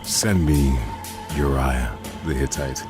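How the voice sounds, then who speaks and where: A commanding ancient king in a scripture drama, his voice extremely low. He speaks slowly and gravely, with measured authority. Loud music runs behind the voice.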